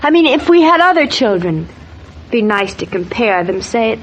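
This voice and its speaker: A woman acting a fond, gently needling wife and new mother in an old-time radio soap opera. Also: a little high, very animated, normal speed, a little hiss or hum, low-quality recording.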